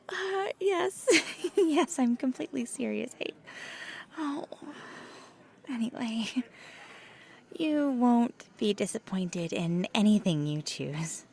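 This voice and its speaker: A woman playing a nervous, earnest paranormal investigator in an ASMR audio roleplay, speaking softly and haltingly with thoughtful, breathy hesitations. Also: fairly high pitch, heavily acted. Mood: amused, flustered.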